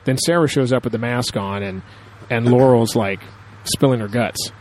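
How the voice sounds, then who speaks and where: Guy podcast host just chatting, rambly and into it, analyzing stuff with lots of asides, medium pitch. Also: moderate pace, a little mellow, poor audio. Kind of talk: casual TV episode discussion.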